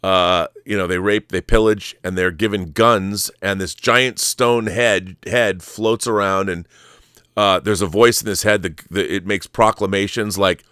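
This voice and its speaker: A middle-aged man with a fairly low, clean and resonant voice, recorded close and dry. He hosts with casual, enthusiastic film commentary, wandering off on tangents and circling back. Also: fairly even intonation, measured pace, a bit bright, clear, resonant tone, dry recording. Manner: enthusiastic, rambling, digressive. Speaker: show host.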